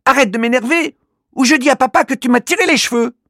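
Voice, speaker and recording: A man voicing a bossy, quick-tempered little girl in a children's storybook, in a squeaky, bossy voice. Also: expressive, dry recording, studio quality, heavily acted.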